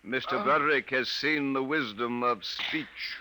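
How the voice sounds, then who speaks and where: Suave, menacing Martian invader, sharp-edged. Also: male voice, mid-range voice, measured pace, poor audio. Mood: calm, cruel. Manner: formal, courteous, coolly threatening. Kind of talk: 1950s radio drama acting. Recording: vintage 1950s radio recording.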